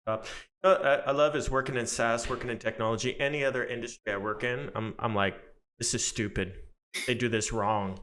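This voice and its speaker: A man in the host's seat keeps the conversation moving with quick, upbeat questions. His voice sits at an ordinary middle pitch, and he chats casually between prompts. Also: fairly even intonation, fairly bright tone. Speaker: podcast host. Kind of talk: casual interview hosting.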